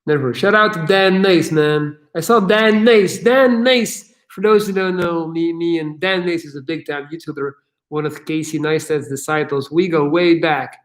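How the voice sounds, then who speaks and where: A man hosts a casual livestream question session with a slightly high voice. He talks loosely and energetically, swears freely and fills his speech with "like" and "um". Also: moderate pace. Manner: casual, profane, energetic, with many fillers. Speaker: channel host answering viewer questions.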